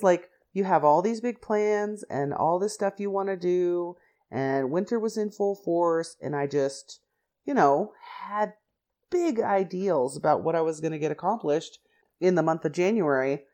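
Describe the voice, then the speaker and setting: A woman with a low-pitched voice talks through her own podcast in a loose, chatty way. She wanders off into asides as she goes, and her voice has a slight rasp and the stuffiness of someone still getting over the flu. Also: animated, normal speed, a little mellow. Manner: chatty and rambling, many "like" and "you know" asides. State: slightly raspy and congested after the flu. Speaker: podcast host. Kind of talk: casual podcast monologue.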